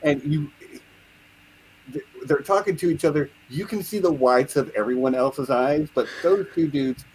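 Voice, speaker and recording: A man in a casual show discussion, talking in a measured, drawn-out way. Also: fairly high pitch, darkish tone, a little hiss or hum, low-quality recording. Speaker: podcast co-host. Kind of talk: casual pop-culture chat.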